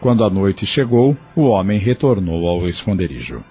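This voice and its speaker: A man reading scripted narration for an audio drama in a measured storytelling way. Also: sits low, big pitch swings, dark tone. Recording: faint music underneath.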